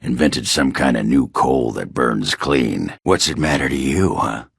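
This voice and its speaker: A male actor playing a gruff, surly, hard-drinking middle-aged dwarf warrior in a video game, rough and gravelly, blunt, sardonic and coarse in his grumbling. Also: extremely low pitch, animated, a little slow, dry recording, studio quality, exaggerated voice. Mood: suspicious. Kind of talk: video game character dialogue.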